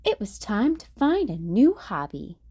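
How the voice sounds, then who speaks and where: A woman reads a children's picture book aloud at an unhurried pace, her pitch rising and falling in a lively storytelling way. The sound is dry and close. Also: husky, no room sound. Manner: expressive, sing-song storytelling.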